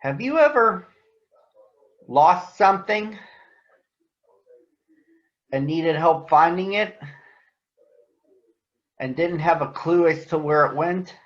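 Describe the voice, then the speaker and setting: A man addressing children as a picture book reader, speaking in deliberate phrases, in a read-aloud storytelling style. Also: on the high side, expressive, fairly bright tone, muffled recording.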